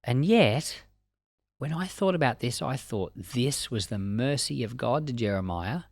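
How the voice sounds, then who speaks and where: A man's voice: mid-range voice, animated, measured pace, vocal fry, no room sound, studio quality. A man teaching the Bible in an informal, conversational way, using colloquial phrasing and acting out little asides as he comments.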